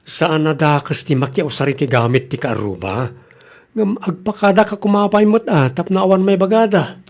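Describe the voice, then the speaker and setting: A man's voice: on the high side, normal speed. An actor playing a plain-spoken father and husband who questions and scolds, with an Ilocano accent in a radio comedy drama. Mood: scolding.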